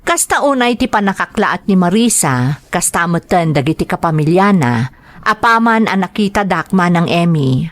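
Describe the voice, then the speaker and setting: A woman's voice: on the low side, expressive, moderate pace, no echo. A woman narrating a scripted first-person drama story in an earnest, reflective manner.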